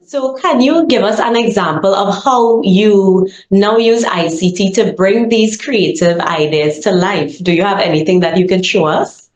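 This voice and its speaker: A woman's voice: medium pitch, a little slow, hardly any pauses, a little mellow, reverberant, low-quality recording. A woman interviewer asking questions in a polite, upbeat way. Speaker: interviewer, communications manager.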